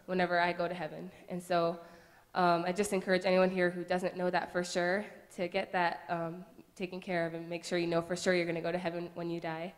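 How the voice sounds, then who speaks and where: Flat-voiced young woman in a church. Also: on the low side, brisk, hardly any pauses. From Connecticut, USA. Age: about 19. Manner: earnest, plain, steady. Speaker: church member giving testimony. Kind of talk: personal testimony in a church service. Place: church sanctuary. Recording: long echo of a church hall.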